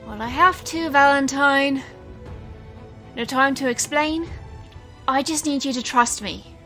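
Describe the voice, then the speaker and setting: Woman acting a bright-voiced, defiant young stage magician. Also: sits high, a little slow, faint music behind. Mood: defiant, urgent. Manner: theatrical showman delivery, swinging to hushed narration. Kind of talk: audio drama acting with first-person narration.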